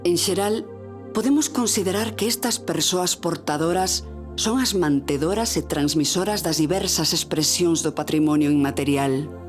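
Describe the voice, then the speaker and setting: A woman with a Galician accent narrates in a measured, formal documentary voice. Her pitch sits lower than most women's. Faint music plays beneath her. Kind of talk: scripted narration.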